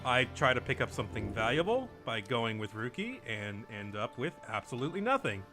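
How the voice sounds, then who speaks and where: A man hosts a casual podcast, recapping the story of a video game in an informal way. His voice sits at a middle pitch, and music plays clearly behind him. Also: sing-song, a little fast. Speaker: podcast host.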